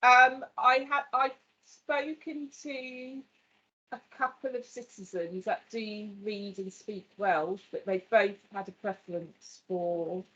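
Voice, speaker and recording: A woman's voice: mid-range voice, takes it slowly, pushed and bright, husky. A woman researcher answering a question about her interviews on a work video call, in short hesitant replies. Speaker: researcher who interviewed citizens. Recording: dull lo-fi video call audio.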